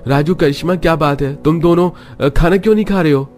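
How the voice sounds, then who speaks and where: Cartoon young dad played by a man: poor, earnest, pleading, higher male pitch, light music bed. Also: brisk, darkish tone, natural voice. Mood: gentle. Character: earnest, downtrodden young father, poor restaurant worker. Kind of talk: melodramatic Hindi cartoon acting.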